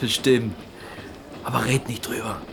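An actor in a radio play voicing an earnest, stubborn village potter with a plain, hesitant yet insistent way of talking. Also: male voice, medium pitch, a little slow, gravelly, background noise. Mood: guarded. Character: earnest, stubborn young village potter searching for his cousin.

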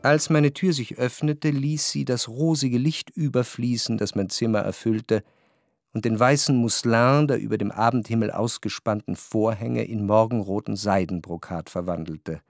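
A man's voice: mid-range voice, gravelly, no echo, close to an everyday voice. Actor as introspective male literary narrator, dry close sound. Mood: pensive. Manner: measured, articulate, reflective. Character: introspective, wryly analytical male narrator of a historical novel. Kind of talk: literary radio play narration.

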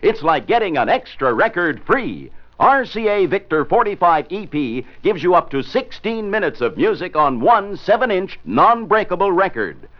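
High-voiced male radio pitchman, 1950s. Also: big pitch swings, normal speed, hardly any pauses. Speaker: commercial announcer. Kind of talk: 1950s scripted radio advertising. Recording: dull, lo-fi 1950s radio broadcast.

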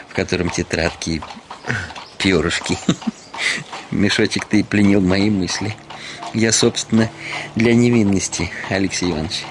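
A man's voice: deep voice, background noise, lightly acted. An actor playing a fawning, buffoonish middle-aged man in a radio drama, ingratiating, hesitant and given to giggling. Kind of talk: theatrical Russian radio drama.

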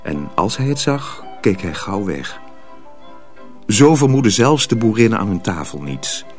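A man's voice: fairly low pitch, sing-song, measured pace, soft background music. A man narrating a radio drama as a scripted storyteller.

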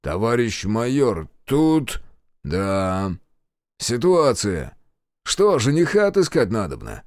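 Calm ironic soviet major, narrator doing him, measured, lively pitch, dry close sound. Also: male voice, dry recording. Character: calm, wry male Soviet militia major.

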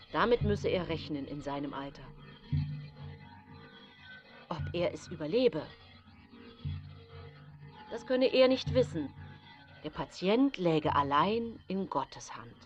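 An actress playing a seemingly pious, gentle yet secretly cold 19th-century housewife, confiding her story in a measured radio drama monologue. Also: on the low side, close to an everyday voice. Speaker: actress narrating in a radio play. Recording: music bed behind studio voice.

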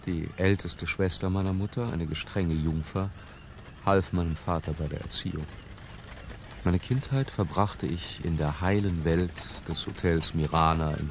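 Radio drama lead, obsessive confessing convict. Very deep, creaky, hushed literary delivery, faint background noise. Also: male voice. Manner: hushed, lingering, sometimes falling to a whisper. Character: Humbert, imprisoned man confessing his obsessive boyhood love. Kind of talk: literary first-person radio drama narration.

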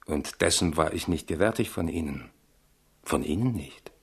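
An actor playing a loyal, philosophical royal attendant in a radio drama, measured and gently reproving with a pompous touch. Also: male voice, a bass voice, animated, slightly rough, heavily acted. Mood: wry reproach. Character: loyal male attendant, admonishing, philosophical, wry. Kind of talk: German radio drama acting.

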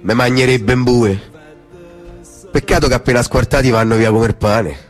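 A man acts out a crude Roman tough guy in a stage play. He has a middling male pitch and speaks in blunt, mocking dialect with a Roman accent, needling whoever he talks to. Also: fairly even intonation, normal speed, soft background music, lightly acted. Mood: coarse, mocking. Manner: coarse, blunt, teasing.

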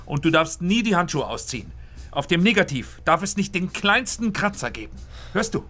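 A man's voice: high voice, very animated, moderate pace, bright, forceful tone, full character voice. An actor playing a driven film director who urges his assistant on, in a radio drama. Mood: urgent.

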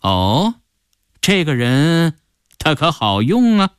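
A man voicing a haughty, suave Qing imperial commissioner in an audio story, speaking in a slow, deliberate, lordly way. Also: on the high side, sing-song, clear, resonant tone, dry recording, studio quality, voice pushed up. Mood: smugly interested.

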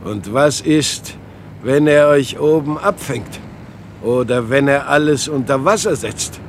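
Old waiting father, very slow, creaky voice, murmurs and outbursts, radio play, noisy recording. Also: mid-range voice. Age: older. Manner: slow, halting, swinging between murmurs and outbursts. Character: frail old father waiting for his lost son. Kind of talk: German radio play acting.

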